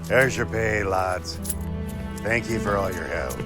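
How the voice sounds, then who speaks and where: An actor playing a stout, friendly ship's purser, jovial and unhurried, in a fantasy radio play. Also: male voice, lowish voice, loud music behind the voice. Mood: hearty, welcoming.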